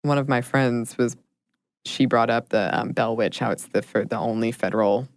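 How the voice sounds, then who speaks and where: A woman co-hosting a podcast in casual chat, laughing often and sometimes dropping to a low murmur. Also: fairly even intonation, moderate pace, dry recording. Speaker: podcast co-host.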